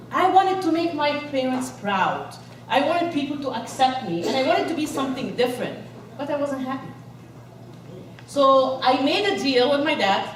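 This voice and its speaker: A woman from Egypt giving an energetic inspirational speech to a live audience, rallying them with questions. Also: sits high, slight background noise. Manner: energetic, rallies the crowd with questions. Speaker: motivational speaker. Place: large event hall. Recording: echoey hall, voice from far off.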